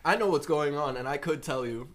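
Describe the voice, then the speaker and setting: A man's voice: on the high side, brisk, fairly bright tone. A man telling stories and bantering casually, swearing and repeating phrases for emphasis. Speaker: podcast participant.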